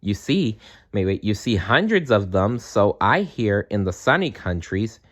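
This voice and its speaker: A man's voice: fairly low pitch, sing-song, a little slow. A man voicing an informative chaffinch explaining things in a storybook. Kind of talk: storybook read-aloud.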